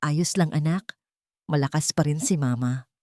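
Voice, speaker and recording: A woman's voice: low voice, measured pace, a little mellow, no room sound, studio quality. A woman voicing a weary, loving elderly mother in an audio drama, long-suffering and anguished. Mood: forced cheer.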